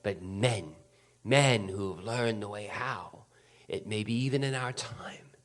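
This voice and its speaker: A man acting a feverishly excited, scheming artilleryman in a dramatic radio play, swinging from hushed to loud. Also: on the low side, takes it slowly. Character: fanatical scheming artilleryman survivor, hungry for power, feverishly excited.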